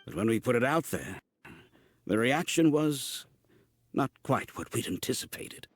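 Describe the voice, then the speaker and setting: A man's voice: mid-range voice, expressive, unhurried. A man voicing an earnest, troubled toy craftsman who explains things in a video game. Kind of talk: video game cutscene dialogue.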